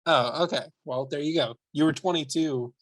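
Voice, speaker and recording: A man in his late twenties chats as a podcast guest, joking dryly at his own expense. His voice sits a little high for a man. The recording is dry and close. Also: moderate pace, no echo. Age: 20s. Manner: dry, self-mocking humor. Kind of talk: casual chat.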